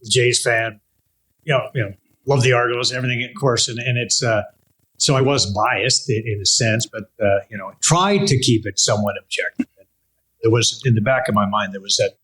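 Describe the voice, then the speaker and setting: An older man, hosting an interview in a casual, halting, loosely phrased way. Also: mid-range voice, fairly bright tone, low-quality recording. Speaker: interview host. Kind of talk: casual chat.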